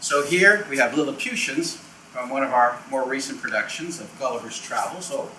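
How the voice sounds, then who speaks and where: A man's voice: mid-range voice, a little fast, pushed and bright. A man hosting an informal puppeteer's show-and-tell tour, talking off the cuff with theatrical showman phrasing and emphatic repeats. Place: indoors, backstage and storage rooms of a puppet theater. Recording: echoey, distant mic with background noise.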